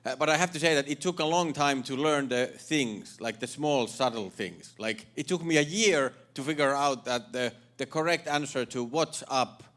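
A man from Finland doing stand-up with a Finnish accent, halting and deadpan, stumbling over repeated words. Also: mid-range voice. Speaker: stand-up comedian. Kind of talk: stand-up set. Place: comedy club stage before laughing audience. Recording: loud crowd laughter and noise.

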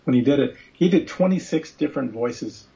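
A man's voice: medium pitch, lively intonation, a little fast, vocal fry, dark tone, highs cut off. A man speaking casually and conversationally as the guest in an interview.

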